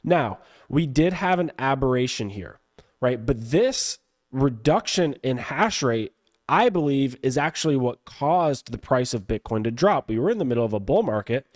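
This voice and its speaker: A man with a raspy, cold-roughened voice, explaining crypto market analysis step by step as a guest analyst. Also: mid-range voice, animated. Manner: measured, explains step by step, asks himself questions. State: hoarse from a cold.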